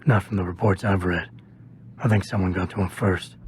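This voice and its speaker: An actor playing a stoic security agent in a video game, speaking in a restrained, terse and deliberate way. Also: male voice, deep voice, fairly even intonation, a little mellow, a little hiss or hum, natural voice. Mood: somber, restrained. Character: stoic gravelly male security agent investigating a cover-up. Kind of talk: video game cutscene acting.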